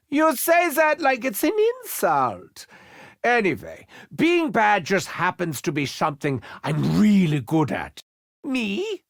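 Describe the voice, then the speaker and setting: A manic German doctor with wild swoops. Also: male voice, high voice, fairly bright tone, no room sound, clean studio sound, heavily acted. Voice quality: theatrical, swooping, mock-wounded. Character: manic unhinged German male doctor, vain and gleeful. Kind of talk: video game voice lines.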